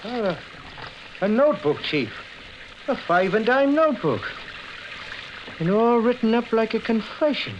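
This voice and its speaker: A man's voice: extremely high pitch, sing-song, unhurried, long pauses, heavily acted. An actor playing a subordinate policeman making a report, in 1940s radio drama style. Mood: matter-of-fact. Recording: dull, hissy old radio recording.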